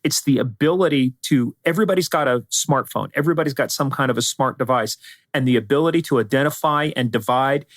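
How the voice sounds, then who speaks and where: A man's voice: medium pitch, quick pace, dry recording, clean studio sound. Middle-aged American man, emphatic security expert. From United States, spent part of childhood in Iran. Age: middle-aged or older. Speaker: security expert guest, former law enforcement advisor. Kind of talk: conversational interview answers.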